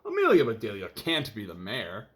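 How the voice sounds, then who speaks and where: A man's voice: fairly low pitch, wildly swooping pitch, normal speed. Guy doing a huffy pompous mayor from a kids book, indignant, voice jumping around. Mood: exasperated. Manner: indignant, emphatic. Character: exasperated, pompous male town mayor.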